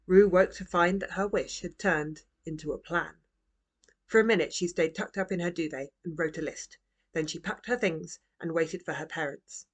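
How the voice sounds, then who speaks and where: Gentle, expressive woman reading a storybook. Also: low-pitched, husky. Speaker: author reading own book. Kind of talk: children's storybook narration.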